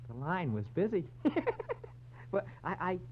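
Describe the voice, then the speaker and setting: An actor playing a cringing male barfly and petty informant in a radio drama, wheedling, stammering and excitable. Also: high voice, measured pace. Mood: nervous, sly. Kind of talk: 1940s radio acting. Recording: dull, noisy vintage radio recording.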